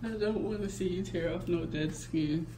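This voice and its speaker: A woman's voice: on the low side, normal speed, background noise. A young woman vlogging casually while touring a museum, giggling and often dropping to a whisper, recorded on a phone at arm's length. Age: young adult. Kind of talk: casual vlog chat. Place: indoor museum galleries. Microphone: phone held at arm's length.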